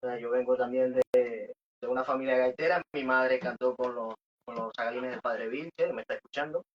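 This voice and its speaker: A man's voice: mid-range voice, fairly even intonation, moderate pace, a little hiss or hum. A man with a Venezuelan Maracucho accent, a band singer casually answering questions in a radio interview. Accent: Venezuelan Maracucho Spanish. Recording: dull, muffled radio audio.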